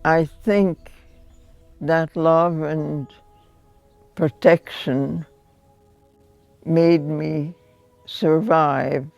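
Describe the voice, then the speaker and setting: Very slow, gravelly old woman. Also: low-pitched, fairly even intonation, a little mellow. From Hungary. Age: 90s. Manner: slow, measured, with long pauses. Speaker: Holocaust survivor giving testimony. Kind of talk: reflective spoken testimony. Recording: dull lo-fi sound with faint music underneath.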